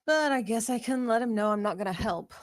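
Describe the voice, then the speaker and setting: A woman's voice: on the high side, a little fast, no echo, lightly acted. A woman playing a sardonic, self-assured young office worker at a screenplay table read, with a wry, dry manner.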